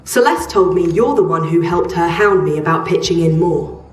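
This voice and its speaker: A woman acting as a guarded underground quest-giver in a video game, slightly low-voiced and curt. She is firm and dry, heard in a roomy space with some distance from the mic. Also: lively intonation, normal speed, close to an everyday voice. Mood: guarded, businesslike. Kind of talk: video game character dialogue. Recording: roomy, distant, faint music behind.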